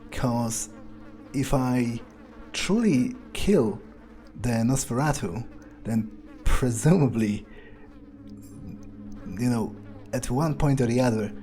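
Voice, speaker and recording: A man plays an ambitious, restless vampire thinking out loud during a video game. His voice is mellow and medium in pitch, and he talks slowly and haltingly, with profanity mixed in. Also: a little hiss or hum. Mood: wary, musing. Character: proud, ambitious male vampire, restless and power-hungry. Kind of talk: improvised in-character gameplay monologue.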